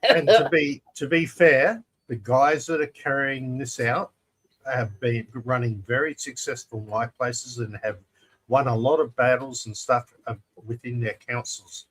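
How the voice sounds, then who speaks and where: A man's voice: mid-range voice, unhurried, fairly bright tone, low-quality recording. An Australian man co-hosting a casual panel chat, emphatic and repeating his key figures. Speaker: show co-host.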